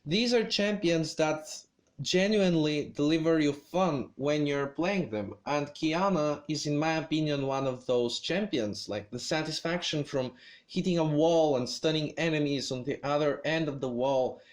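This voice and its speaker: A man talking through his opinions on a video game character in a hesitant, measured way, with lots of pauses and restarts. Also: on the high side. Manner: hesitant, many fillers and restarts. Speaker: gaming video creator. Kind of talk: game commentary vlog.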